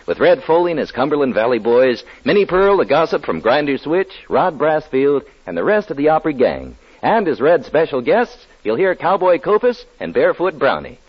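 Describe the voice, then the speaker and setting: A man announcing a commercial in the style of 1940s radio, with a husky voice. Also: mid-range voice. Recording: muffled vintage radio broadcast.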